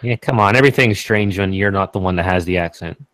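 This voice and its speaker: Laid-back Canadian man with a low voice. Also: fast talker, fairly bright tone, no echo. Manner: laid-back, laughs often, trails off with "so". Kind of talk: casual online group chat. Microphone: video call.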